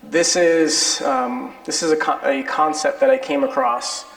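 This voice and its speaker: A man's voice: on the high side, steady tone, unhurried, fairly bright tone. A man with an American accent giving an informal, conversational, self-deprecating lecture with personal anecdotes. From born in Peru, grew up in the United States. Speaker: lecturer, dental implant surgeon. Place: indoor lecture hall with an audience. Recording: echoey hall with long reverb.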